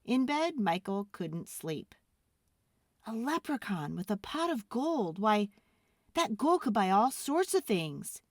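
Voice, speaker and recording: Very animated woman storyteller. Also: mid-range voice, dry recording, studio quality. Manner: expressive, deliberate storytelling. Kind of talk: dramatic children's read-aloud narration.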